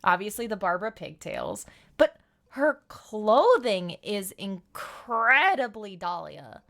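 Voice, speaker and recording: A woman game streamer chatting casually on a livestream in an animated, exclamatory way. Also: mid-range voice, slow pace, vocal fry. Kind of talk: casual livestream chat, reading game dialogue aloud.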